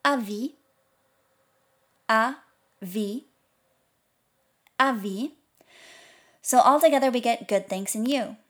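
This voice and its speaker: A woman with a slightly higher voice hosts a scripted podcast lesson. She explains each phrase in plain terms, then repeats it slowly and carefully for learners. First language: English. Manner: clear, slow repetition of foreign phrases. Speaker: language podcast instructor.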